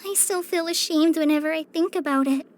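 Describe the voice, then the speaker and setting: A woman's voice: very high-pitched, brisk. A woman voicing a shy, apologetic, earnest teenage girl in a video game, hesitant and stammering as she speaks. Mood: flustered, ashamed. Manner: hesitant, stammering, self-conscious. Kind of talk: video game character voice-over.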